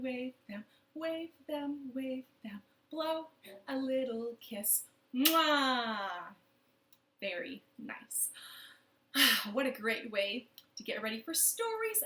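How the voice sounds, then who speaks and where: Bright sing-song woman leading storytime. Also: sits high, echoey room, a little hiss or hum. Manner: playful, sing-song, animated with children. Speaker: children's librarian leading storytime. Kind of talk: children's storytime with rhymes and songs. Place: her living room.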